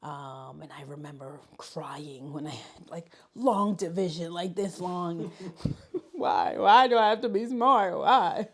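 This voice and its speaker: A woman from New York City, a high school learning specialist, reflecting on her path into teaching in an interview, with frequent restarts and fillers. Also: slow pace. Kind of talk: interview conversation.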